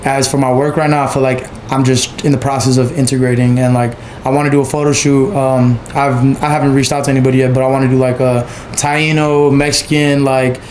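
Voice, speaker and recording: A man speaks in a fairly flat, even tone and rambles through his thoughts with plenty of fillers. He is a podcast guest. The recording carries a roomy echo. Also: medium pitch, slight background noise. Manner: rambling, many fillers and 'you feel me'. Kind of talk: casual chat. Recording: echoey room.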